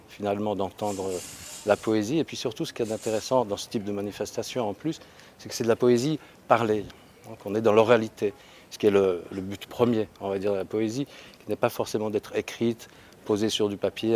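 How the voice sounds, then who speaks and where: A man's voice: on the low side, darkish tone, a little hiss or hum. A man organizing an event, speaking earnestly in an interview and repeating small words as he builds his point.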